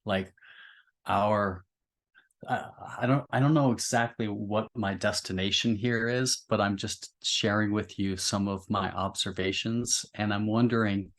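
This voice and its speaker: Guy talking poetry on a podcast, kinda low voice, really slow, thinks out loud in a halting way. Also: fairly even intonation. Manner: halting, reflective, roundabout phrasing. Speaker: podcast discussion participant. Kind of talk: thoughtful literary discussion.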